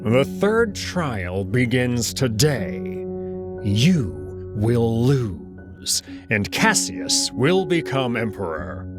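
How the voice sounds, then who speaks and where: A man voicing a menacing, commanding alien wolf who speaks slowly with stern contempt, in a visual novel reading. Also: medium pitch, very animated, music in the background. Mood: threatening. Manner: stern, slow, contemptuous, imperious.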